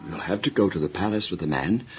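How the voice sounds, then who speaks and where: An actor playing a solemn, earnest Hebrew patriarch and husband in a Bible audio drama, speaking in a grave, pious, measured way. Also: male voice, deep voice, animated, music in the background. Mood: placating. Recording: dull lo-fi radio drama recording.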